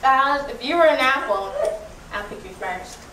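A woman's voice: high voice, lively intonation, moderate pace, pushed and bright, slight background noise. A woman delivering lines in an amateur comedy skit in a living room, away from the camera. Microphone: distant handheld camera. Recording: echoey room, distant mic.

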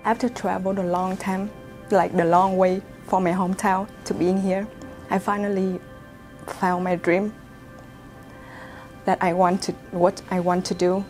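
A woman's voice: mid-range voice, little pitch movement, measured pace, pauses often, a little mellow, faint music behind. A young woman from Vietnam with a Vietnamese accent, answering interview questions as a student and searching for her words. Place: university lab building, indoors.

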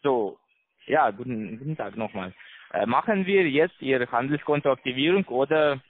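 A man with a foreign accent, not a native German speaker, giving a halting, repetitive telephone sales pitch as a call center salesman. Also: lively intonation. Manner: halting, repetitive, broken grammar. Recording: tinny narrow phone line.

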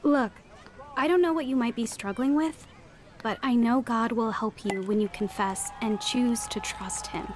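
A woman's voice: fairly high pitch, moderate pace, lightly acted. A woman playing an earnest, repentant teenage girl in an audio drama, speaking in a confessional way. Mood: encouraging. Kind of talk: dramatized first-person narration and dialogue.

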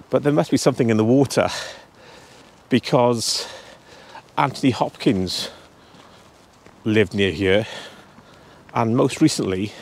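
A man's voice: lowish voice, gravelly, slight background noise. Chatty man vlogging outdoors at arm's length. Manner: chatty, talking straight to camera while walking. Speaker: travel vlogger. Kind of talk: casual vlog walk-and-talk. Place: outdoors on a cold hillside. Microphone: handheld camera at arm's length.

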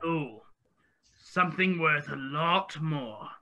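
A man's voice: a little high, animated, slow pace, bright, forceful tone, lo-fi sound, full character voice. A middle-aged man playing a sly, mysterious stranger in a radio play, drawing out his words over a video call. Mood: sly, coaxing. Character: sly limping stranger trading magic beans. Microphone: headset on a video call. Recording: very noisy video call audio.

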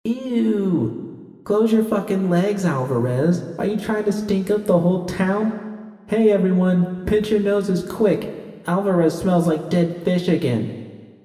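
A man's voice: high-pitched, takes it slowly, dark tone, pitched above the performer's own voice. A man voicing a cruel young bully who sneers and taunts, with a heavy echo effect on the voice. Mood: mocking. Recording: distant echoey reverb effect.

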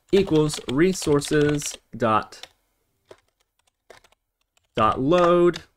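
A man narrating a step-by-step programming screencast as an instructor, explaining and thinking aloud as he works. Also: a little high.

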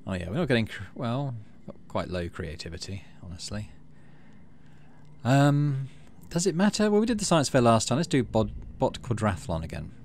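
A man hosting a gaming video, mixing casual commentary with expressive reading aloud of story text. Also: medium pitch, moderate pace, a little hiss or hum.

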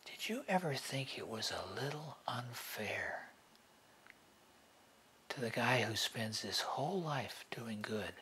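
A man playing a bitter, sardonic convict awaiting execution in a dramatic monologue, taunting and hushed, with long pauses. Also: mid-range voice, expressive, slight background noise. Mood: bitter, questioning.

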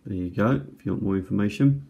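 A man's voice: lowish voice, fast, gravelly, breathy edge, narrow, tinny audio. A man giving casual unboxing commentary as a video host, hesitant and musing as he thinks aloud. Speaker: video host reviewing items. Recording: home recording with faint background noise.

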